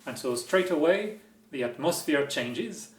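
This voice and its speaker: A man's voice: on the high side, animated, a little slow, roomy sound. A man with a French accent, hosting a music-making vlog and explaining his work with wry, self-deprecating humor. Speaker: musician and video host. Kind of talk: casual explanatory vlog narration.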